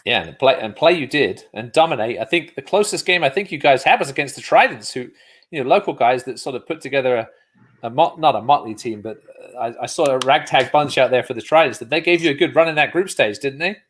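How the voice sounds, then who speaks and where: A man's voice: mid-range voice, expressive, quick pace, fairly bright tone, husky. A man hosting a sports show, talking casually as he interviews guests.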